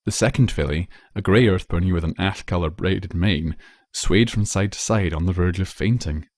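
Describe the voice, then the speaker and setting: A man narrating a written story in an expressive storytelling style. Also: sits low, normal speed, no echo, studio quality. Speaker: narrator reading fan fiction.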